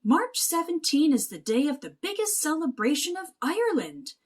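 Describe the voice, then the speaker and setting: A woman voicing a brisk, dismissive uncle mouse in a storybook, jolly but scolding and scoffing. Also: high voice, big pitch swings, voice pushed up. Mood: scoffing, bossy. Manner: blustering, scoffing.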